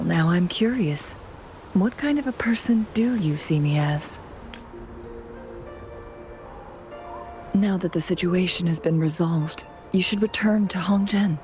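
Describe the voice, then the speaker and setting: A woman's voice: lowish voice, darkish tone, natural voice. An actress playing a composed, gracious noblewoman strategist in a video game, speaking in a polished, lightly teasing way. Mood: teasing, gentle.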